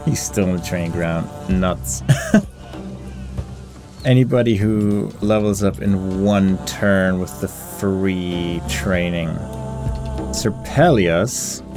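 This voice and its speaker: Low-voiced man musing over a game, music behind. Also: drawn-out words. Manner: mutters through options, reading game text aloud. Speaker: gamer hosting a playthrough. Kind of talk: casual gameplay commentary, thinking aloud.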